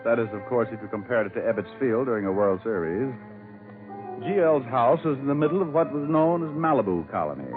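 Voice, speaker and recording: An American actor playing a breezy, wry New York private detective in an old radio drama, narrating and trading sardonic wisecracks. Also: male voice, medium pitch, animated, a little fast, close to an everyday voice. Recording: muffled old radio recording, music behind.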